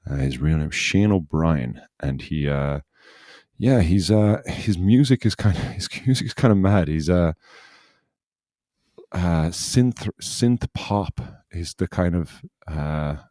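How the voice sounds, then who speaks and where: A man's voice: deep voice, expressive, slow, dark tone, no room sound, studio quality. A man hosting a podcast, telling a loose, rambling story in casual chat. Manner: rambling, loose storytelling that circles back on itself. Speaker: podcast host.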